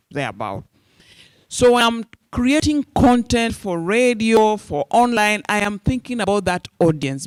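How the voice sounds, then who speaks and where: This is a Kenyan man in his forties with a somewhat high voice, talking to a room of media students as a radio journalist. He speaks in a direct, earnest way and calls them "you guys". The sound is close and dry, with no room echo.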